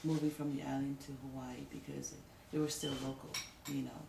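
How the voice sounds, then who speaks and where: A woman in her forties from Tonga, an interviewee, recalling her past slowly and haltingly. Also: very deep voice, level tone, gravelly, roomy sound, noisy background. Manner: slow, halting recollection.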